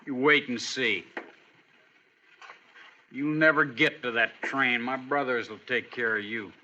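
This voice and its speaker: A man's voice: medium pitch, steady tone, a little slow, pushed and bright. An actor playing a defiant, cocky American outlaw prisoner in a radio western, taunting and menacing as he speaks. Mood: taunting, smug. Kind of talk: old-time radio western acting. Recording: dull old radio recording, faint noise.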